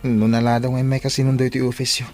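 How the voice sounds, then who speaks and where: A man's voice: mid-range voice, little pitch movement, fast, over loud music, natural voice. A man with an Ilocano Filipino accent playing a coaxing, evasive young boyfriend in a radio drama, casual in manner.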